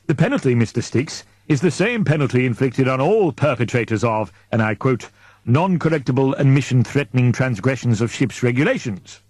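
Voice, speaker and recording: A man voicing a fatherly, authoritative male expedition leader in an audiobook, booming and pompous, with wordy formal speech. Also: lively intonation, a little fast, a little mellow, no room sound, lightly acted. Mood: pompous, magisterial. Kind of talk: audiobook character dialogue.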